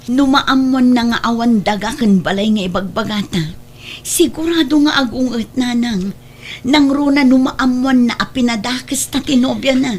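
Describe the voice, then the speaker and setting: A woman's voice: fairly high pitch, moderate pace, lightly acted. A woman with an Ilocano Filipino accent playing a guilty, evasive older sister in an audio drama. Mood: anxious.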